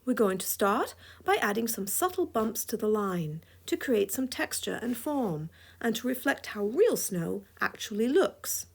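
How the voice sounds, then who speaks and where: A woman's voice: on the high side, big pitch swings, measured pace, creaky, hardly any pauses, slightly rough. A woman with a scratchy voice giving a drawing tutorial voice-over, playful and chuckling often. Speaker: drawing instructor.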